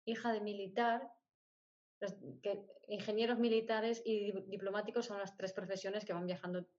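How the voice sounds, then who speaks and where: A woman's voice: mid-range voice, flat delivery, normal speed, a little mellow. A middle-aged woman telling personal stories as an interview guest, in short phrases that she often repeats for emphasis. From born in Spain, grew up moving between countries. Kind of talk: personal storytelling in conversation. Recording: dull, lo-fi audio.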